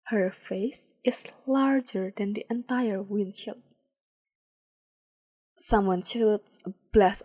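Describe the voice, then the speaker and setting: A woman reading fan fiction aloud as a podfic, with calm, measured storytelling. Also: fairly high pitch. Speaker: podfic reader.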